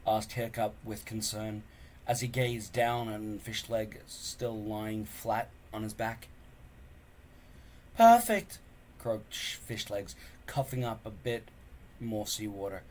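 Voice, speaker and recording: A man reading a children's novel aloud, halting now and then and stumbling over words. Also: on the low side, level tone, rough recording. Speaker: story reader.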